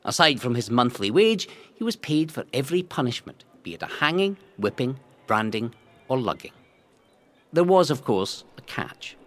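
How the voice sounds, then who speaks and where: A man reads a scripted historical narration in a theatrical, measured storytelling manner. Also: medium pitch, big pitch swings, a bit bright. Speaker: narrator of a local history audio guide.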